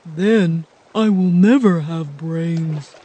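A woman voicing a kind, gentle male scarecrow in a storybook reading, soft and kindly. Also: on the low side, slow. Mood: sad, kind.

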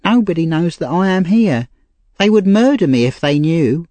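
A man's voice: animated, moderate pace, warm tone, no echo, close to an everyday voice. A man voicing a frightened, tearful young woman thief in a storybook reading, pleading and urgent. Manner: pleading, urgent and high-strung. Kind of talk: audiobook character reading.

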